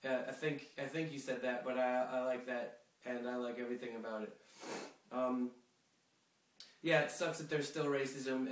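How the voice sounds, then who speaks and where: A young man with a sniffly cold, chatting in a rambling way with lots of fillers and restarts. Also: mid-range voice. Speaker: pen pal video blogger. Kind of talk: casual video letter to a friend. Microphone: phone camera. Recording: echoey room, a bit far from the mic.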